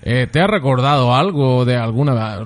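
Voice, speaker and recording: A man's voice: medium pitch, expressive, normal speed. A man hosting a local radio show, chatting casually with a guest in a dry, teasing way. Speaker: radio host.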